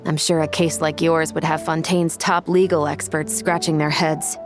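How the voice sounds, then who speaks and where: An actress playing a composed, fair-minded law officer in a video game, speaking in a measured, formal way. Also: female voice, low voice, level tone, over music, close to an everyday voice. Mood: calm, reassuring. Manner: measured, formal, matter-of-fact. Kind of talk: video game character acting.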